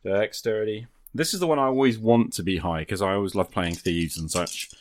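A man's voice: on the low side, fairly quick, no room sound, clean studio sound. A man hosting a podcast, chatting in a casual, off-the-cuff way. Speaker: podcast host and player.

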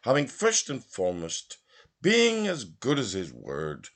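A man from Belfast reads literary prose aloud in a measured, theatrical narrating style. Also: mid-range voice, huge pitch swings, a bit bright. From Belfast, Northern Ireland. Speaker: literary reader. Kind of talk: expressive literary audiobook narration.

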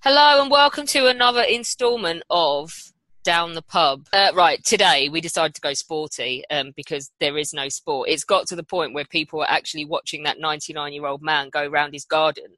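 Bright, irreverent British woman hosting. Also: low voice, a little fast, no room sound, rough recording. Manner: irreverent, sweary, teasing. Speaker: podcast host. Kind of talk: casual panel chat.

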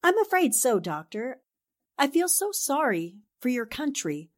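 A woman's voice: high-pitched, very animated, unhurried, exaggerated voice. A woman acting an earnest, sympathetic young newspaper reporter in old-time radio drama style. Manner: earnest, sincere.

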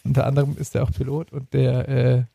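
A man chats casually as a podcast host in a mellow voice with plenty of fry. He often stammers over repeated words and phrases. The sound is dry and close. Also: mid-range voice, completely flat delivery, fairly quick, dry recording. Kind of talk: casual chat about music.

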